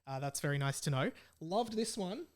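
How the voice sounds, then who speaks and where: A man's voice: fairly high pitch, lively intonation, normal speed. A man hosting a solo podcast in a casual, jokey way, repeating phrases for emphasis. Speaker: podcast host.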